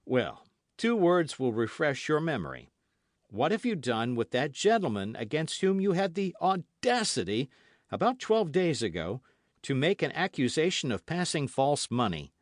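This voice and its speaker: A man reading aloud, voicing an angry young swordsman who demands answers in a raised, forceful voice. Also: medium pitch, sing-song, moderate pace, no room sound, clean studio sound, voice pushed up, close to an everyday voice. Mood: menacing.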